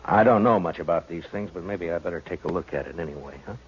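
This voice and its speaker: An actor playing a gruff, steady marshal of the old West in a 1950s radio western, laconic and measured. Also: male voice, sits low, noisy background, lightly acted. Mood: calm, practical. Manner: laconic, calm, measured questioning. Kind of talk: 1950s radio western acting and first-person narration. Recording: dull vintage radio recording with music.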